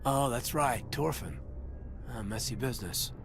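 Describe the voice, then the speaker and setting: A man playing a thoughtful, dutiful military lieutenant in a video game, measured and respectful. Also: medium pitch, animated. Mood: wry. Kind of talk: video game dialogue acting. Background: music.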